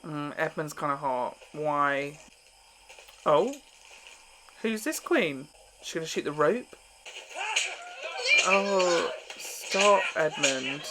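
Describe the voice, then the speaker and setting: A man's voice: on the high side, lively intonation, very slow, long pauses, background noise. A man giving casual reaction commentary as a host, with gossipy, exclamatory asides.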